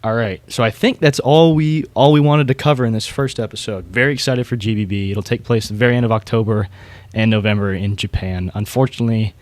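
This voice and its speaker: Low-voiced man, casual podcast co-host. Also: a little fast, a little mellow, dry recording. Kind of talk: casual podcast discussion, hobbyist commentary.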